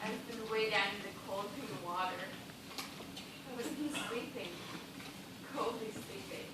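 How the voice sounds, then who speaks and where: An actress playing a distraught, feverish female dancer castaway in an amateur stage play, with impassioned theatrical delivery. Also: a little high. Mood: subdued, bleak. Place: theatre stage, recorded from audience. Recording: very echoey stage, distant, noisy.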